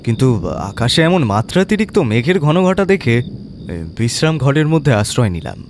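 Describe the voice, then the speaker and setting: A man's voice: medium pitch, sing-song, normal speed, pitched above the performer's own voice, lightly acted. A man voicing a respectful, slightly uneasy young villager who explains himself deferentially in an audio story. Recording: clear storm and insect noise behind.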